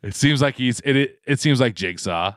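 A man with a mid-pitched, bright voice that moves a lot, co-hosting a comedy podcast. He rambles, stumbles through restarts and swears freely. Dry, close sound. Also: lively intonation, moderate pace, dry recording. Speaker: podcast co-host. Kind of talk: casual comedic chat.

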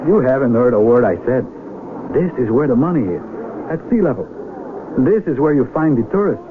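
An actor playing a practical, wry mountain climber and patient friend in a 1970s radio drama, measured and dryly teasing. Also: male voice, mid-range voice, lively intonation, lightly acted. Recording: narrowband, noisy old radio broadcast.

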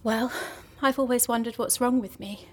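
A woman's voice: a little high, steady tone, normal speed, a little mellow, lightly acted. An actress in an audio drama playing a morbid, guilt-ridden woman who frets and apologises with melodramatic self-pity. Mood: subdued, gloomy. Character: morbid, guilt-ridden, fretful young woman convinced she causes death.

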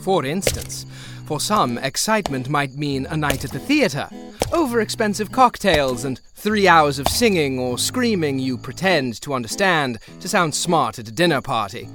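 An actor playing a pompous, bumbling Victorian gentleman detective in a comedic audio drama, wordy and precise. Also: fairly high pitch, big pitch swings, moderate pace, music in the background. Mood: grandly musing.

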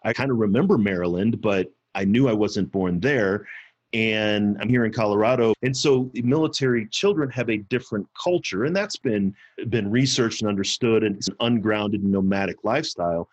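A man's voice: on the low side, moderate pace, muffled recording. A middle-aged American man from Missouri talking earnestly and reflectively in a serious conversational interview. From Missouri, United States.

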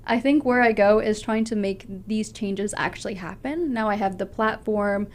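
A woman's voice: mid-range voice, moderate pace. A young woman from Canada, a public speaker answering interview questions in an earnest, passionate way with a big voice. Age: young adult.

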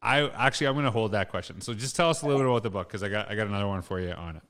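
A man's voice: on the low side, very fast, a bit bright. A middle-aged Canadian man hosting a podcast in a casual, rambling conversational way, often rephrasing himself. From Canada, small town Saskatchewan. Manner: informal, rambling, self-rephrasing. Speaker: podcast host.

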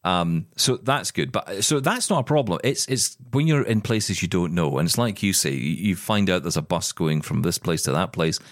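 Guy with a really low voice just chatting on a podcast, keeps restarting his sentences, dry sound. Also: lively intonation, moderate pace, few pauses, no echo. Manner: rambling, repeats words when starting sentences. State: blind, describing progressive vision loss. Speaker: podcast co-host. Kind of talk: casual chat.

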